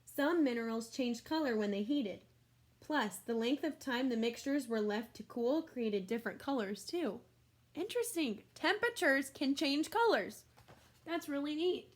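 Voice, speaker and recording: Lady teacher reading a picture book to kids, kinda high voice, really expressive, stops to explain stuff simply. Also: moderate pace. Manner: expressive, exclaiming, explains things simply for children. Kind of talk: read-aloud storytelling with asides to young listeners.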